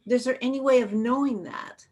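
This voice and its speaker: A woman's voice: on the high side, brisk, darkish tone. A woman tantra instructor with a big, room-trained voice, chatting and asking questions on a video call.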